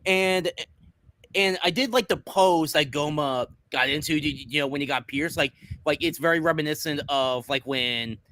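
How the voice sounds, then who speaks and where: A man's voice: fairly high pitch, moderate pace, bright, forceful tone, no room sound. A man co-hosting a casual anime review, rambling with lots of fillers. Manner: rambling, many fillers and repeated words. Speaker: co-host.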